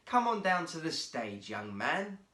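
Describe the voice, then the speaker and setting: A man's voice: on the high side, sing-song, measured pace, pushed and bright, reverberant, full character voice. A man voicing a jovial zookeeper who presents a seal show in a children's storybook, addressing animals and audience. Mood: commanding, jovial.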